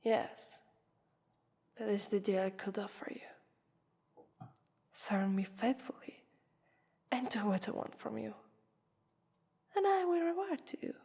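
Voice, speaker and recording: A woman with an Italian accent voicing a haughty, taunting vampire mistress in an ASMR roleplay, breathy, condescending and often whispering. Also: a little high, big pitch swings, slow pace, pauses often, mellow, exaggerated voice. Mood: predatory, deliberating. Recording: long reverberant echo.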